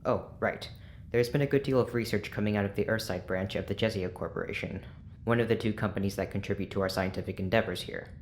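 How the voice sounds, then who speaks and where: A man's voice: fairly low pitch, quick pace, a little hiss or hum, natural voice. A man acting in an audio drama as a dry, matter-of-fact researcher giving a report. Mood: dry, businesslike.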